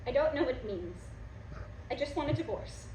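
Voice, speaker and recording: Impulsive young stage wife, played by a woman: melodramatic, high-pitched, indignant; echoey recording with some background noise. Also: lively intonation, a little fast, darkish tone. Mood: resolute, wounded. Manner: emphatic, indignant, theatrical. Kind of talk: stage comedy-drama acting.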